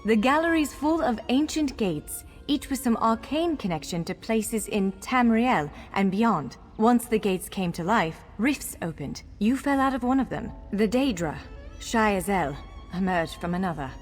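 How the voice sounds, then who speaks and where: A woman's voice: medium pitch, lively intonation, measured pace, natural voice. A woman playing a courteous, wry elf scholar guiding someone in a video game, articulate and formal yet playful. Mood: wry, informative. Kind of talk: video game character dialogue. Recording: long hall reverb over music.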